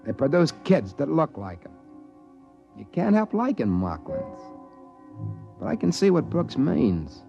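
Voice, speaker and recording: A man's voice: fairly high pitch, very animated, a little slow, gravelly, long pauses, warm tone, exaggerated voice. An American actor playing a folksy, easygoing trading-post man who narrates his tale plainly in a 1950s radio drama. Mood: wistful, plain. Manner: plain-spoken, folksy, colloquial. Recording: dull vintage radio recording, music underneath.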